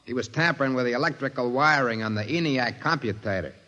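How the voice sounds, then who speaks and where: An actor in a 1950s radio drama playing a plain-spoken security guard giving testimony. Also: male voice, medium pitch, fast, pushed and bright, close to an everyday voice. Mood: matter-of-fact. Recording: echoing hall, dull noisy old radio.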